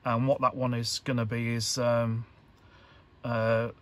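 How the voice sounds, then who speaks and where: A man giving an informal hands-on tutorial, talking in a halting, hesitant way as he thinks aloud. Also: mid-range voice, level tone, fairly bright tone. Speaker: electronics hobbyist presenter.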